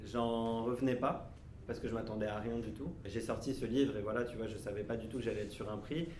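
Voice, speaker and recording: A man in his thirties from France, answering children's questions as an author, pausing and restarting often in a gentle, casual way. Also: medium pitch, steady tone, echoey room, slight background noise. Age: 30s. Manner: hesitant, frequent restarts and fillers, gentle with children. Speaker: author interviewed by schoolchildren.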